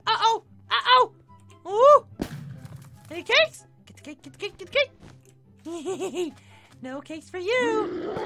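Woman playing an excitable, very high-pitched game heroine. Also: full character voice. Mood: frantic. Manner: exclamatory, repeats phrases, squeals and mutters. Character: Ballora, excitable, easily flustered cartoonish young heroine in battle. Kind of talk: Minecraft roleplay gameplay acting.

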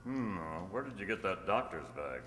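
A man's voice: lowish voice, big pitch swings, normal speed, sharp tone. A man playing a kindly, commanding masked cowboy hero in an old-time radio western, on a hall stage. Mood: calm, kindly. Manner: declarative, reassuring, commanding. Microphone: stand microphone. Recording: echoey hall stage recording.

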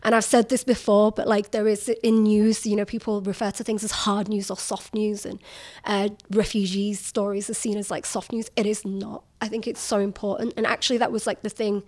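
A woman's voice: mid-range voice, little pitch movement, fairly quick, darkish tone. A woman journalist in her thirties, talking candidly in an interview in a forthright, emphatic way and filling her speech with "like". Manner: forthright, emphatic, uses many "like" fillers. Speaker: journalist guest.

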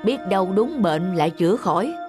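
A gossipy, superstitious middle-aged village woman from an animated folk tale, played by an actress. She urges and persuades insistently, with music playing faintly underneath. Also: mid-range voice, a little fast, close to an everyday voice. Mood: persuasive.

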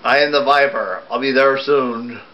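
A man voicing a slow, ominous little old man who calls on the phone. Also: medium pitch, slight background noise, close to an everyday voice. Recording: dull lo-fi recording.

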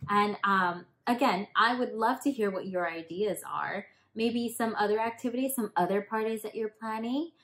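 A young adult woman talking casually and directly to children as a teacher hosting story time, in an upbeat, animated way. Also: medium pitch, normal speed, hardly any pauses, echoey room. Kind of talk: casual talk to children about a picture book. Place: small indoor room in front of a hanging tapestry.

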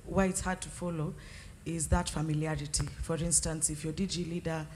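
A woman giving informal feedback at a church gathering on a handheld microphone, hesitant and pausing often. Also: low-pitched, little pitch movement, a little mellow. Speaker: congregation member answering. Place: large open-sided tent gathering. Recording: noisy reverberant hall sound.

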